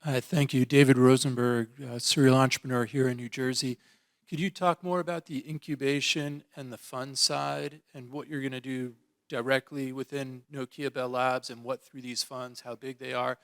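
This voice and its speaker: A man's voice: mid-range voice, level tone, normal speed. A man in the audience asking a string of polite questions at a press conference. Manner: polite, asks questions in a run.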